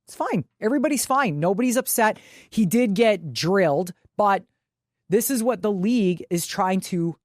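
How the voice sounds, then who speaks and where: Woman, medium pitch, casual sports talk, emphatic, sweary, dry recording. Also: animated, normal speed, darkish tone, dry recording. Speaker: podcast co-host.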